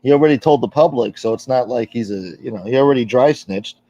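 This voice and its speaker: A man's voice: medium pitch, fast talker, mellow, dry recording. Rambling, profane man on a lo-fi stream. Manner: rambling, candid, profane, with frequent fillers. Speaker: livestream host. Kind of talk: casual livestream chat reading viewer comments. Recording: dull, lo-fi stream audio.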